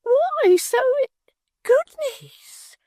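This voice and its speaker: Shrill hysterical maid. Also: female voice, expressive, very slow, a little mellow, no room sound, studio quality, heavily acted. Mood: coy shrill. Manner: shrill, affected, melodramatic. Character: prim hysterical young maidservant, woman.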